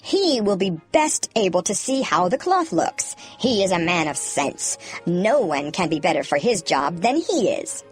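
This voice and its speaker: A woman's voice: lowish voice, big pitch swings, normal speed, few pauses. A woman voicing a vain, self-important emperor in a children's storybook, speaking in pompous declarations. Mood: decided, confident. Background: background music.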